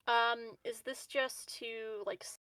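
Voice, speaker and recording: A woman's voice: on the high side, bright, forceful tone, no echo, low-quality recording. A woman talking casually as a player in a tabletop game, somewhat away from the microphone.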